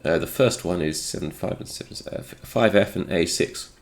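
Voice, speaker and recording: A man's voice: low-pitched, normal speed, creaky. A man with a slightly congested voice, recovering from a cold, thinking aloud through an unscripted technical walkthrough. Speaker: host of a retro game programming video.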